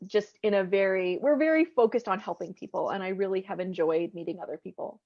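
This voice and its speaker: A woman's voice: mid-range voice, a little fast, muffled recording. A woman hosting a podcast interview in a casual, chatty, informal way. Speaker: podcast host.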